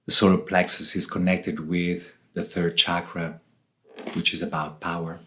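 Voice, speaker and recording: A man from southern Switzerland giving reflective interview answers as a guest, speaking deliberately in short phrases with pauses. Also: low-pitched, little pitch movement, a bit bright. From Switzerland, southern part. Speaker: author and ayahuasca facilitator, interview guest.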